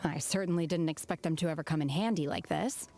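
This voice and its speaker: Aloof, formal young swordswoman, lowish voice, soft game music under it. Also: fast. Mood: explaining. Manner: cool, detached and formal. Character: calm, aloof young woman warrior. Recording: game audio with music behind.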